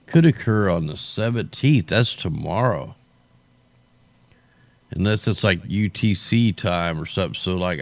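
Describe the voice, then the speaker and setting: A man with a low, creaky voice hosts a casual livestream. He sounds tired, with his voice worn out. His commentary is off the cuff, rambling and full of slang. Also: dry recording. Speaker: livestream host.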